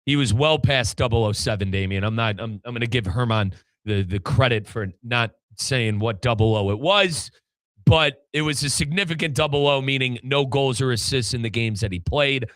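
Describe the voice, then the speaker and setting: Casting: American male soccer podcast host, forceful bright voice, informal and chatty, close dry sound. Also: mid-range voice, normal speed, no echo. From United States. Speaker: sports podcast host and play-by-play commentator. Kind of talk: casual, animated soccer discussion.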